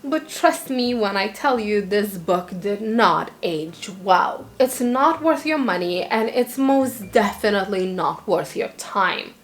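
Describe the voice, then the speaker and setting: A young woman whose first language is Lithuanian talks through her year of reading in English. She gives blunt, opinionated verdicts in a relaxed, chatty tone, with the occasional "uh" as she goes. Her pitch sits in the middle range for a woman. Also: slow, few pauses. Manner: candid, opinionated, conversational with occasional fillers. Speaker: book vlogger. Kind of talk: book review vlog.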